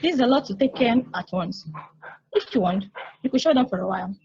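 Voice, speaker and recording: A woman's voice: a little high, animated, fairly quick, gravelly, darkish tone, husky, muffled recording, heavily acted. A woman reading the part of a reassuring, considerate space satellite in a stage play table read.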